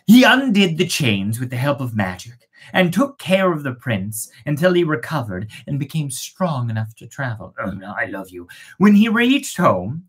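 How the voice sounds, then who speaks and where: Husky, very animated storyteller. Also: medium pitch, moderate pace. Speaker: storyteller and host. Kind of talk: expressive fairy-tale storytelling with casual asides.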